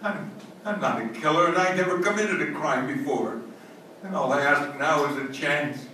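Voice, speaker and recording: A desperate male convict played by an actor with a piercingly bright, fairly high voice, stammering and impassioned. It is old-time radio acting, caught in a very echoey room. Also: normal speed. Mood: pleading, defensive. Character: bitter, desperate male condemned murderer. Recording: very echoey, distant stage recording, faint noise.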